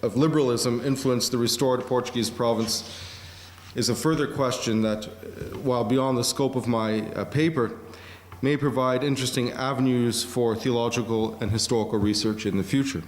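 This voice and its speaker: A man delivering a prepared academic conference lecture, measured, with occasional fillers. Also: mid-range voice, fairly even intonation, a little hiss or hum. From Canada. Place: museum auditorium. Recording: echoey museum lecture hall.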